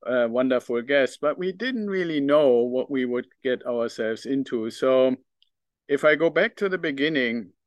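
A man's voice: mid-range voice, expressive, moderate pace, no room sound, highs cut off. German-accented man hosting a podcast, dry sound. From northern Germany. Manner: earnest, reflective, thinks aloud with fillers. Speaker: podcast host. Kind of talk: solo podcast monologue.

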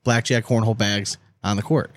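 A man's voice: fairly low pitch, steady tone, fairly quick, very noisy. A man co-hosting a podcast in casual, laid-back banter, sometimes drawing out his phrases. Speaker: podcast co-host.

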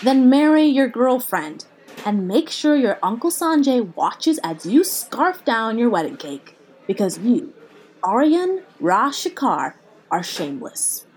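An actress playing a brash, blunt comedian friend in a naturalistic audio drama, sarcastic, punchy and profane. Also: female voice, on the high side, sing-song, slow pace, husky, heavily acted, rough recording. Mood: forceful, rallying.

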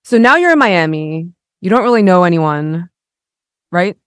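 A woman's voice: fairly low pitch, animated, normal speed, no room sound. Woman interviewer asking questions, dry sound.

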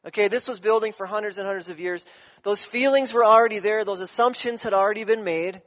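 Male pastor, high-pitched, chatty preaching style, echoing sanctuary. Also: quick pace, a bit bright. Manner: conversational, checks in with listeners. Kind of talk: sermon.

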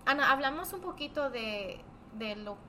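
A woman's voice: high voice, animated, moderate pace, pushed and bright, slight background noise. A woman chatting casually and explaining things as a host, speaking Spanish that is not fully fluent, as a second everyday language. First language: not fully fluent in Spanish, more at home in another language. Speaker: podcast host and therapist. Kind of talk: casual conversational discussion.

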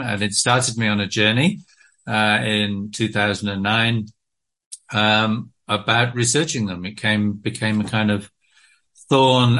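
Middle-aged British man, low-ish voice, forceful and bright, halting with lots of ums. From Britain. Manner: hesitant, many ums and restarts. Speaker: guest author. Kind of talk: casual interview talk.

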